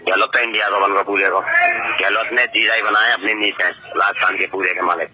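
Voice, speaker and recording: A man's voice: fairly low pitch, steady tone, brisk, noisy background. A man with an eastern Rajasthan Bharatpur accent chatting casually and boastfully, rambling and repeating himself on a recorded phone call. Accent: eastern Rajasthan (Bharatpur) regional Hindi.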